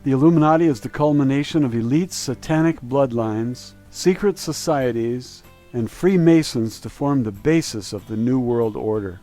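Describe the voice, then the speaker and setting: Mellow male documentary narrator. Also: medium pitch, moderate pace, few pauses, soft background music. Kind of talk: scripted narration.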